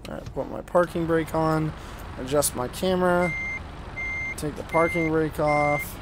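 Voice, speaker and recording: A man's voice: on the high side, fairly even intonation, measured pace, background noise. A man giving casual gameplay commentary as a video host, rambling and sweary with an enthusiastic manner and a slight speech impediment. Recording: music playing behind the voice.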